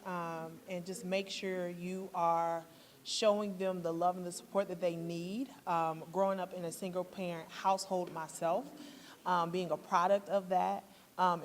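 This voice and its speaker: A woman with an American accent answers interview questions casually. Her voice sits a little low, recorded in a reverberant hall with some background noise. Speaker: stage actor being interviewed.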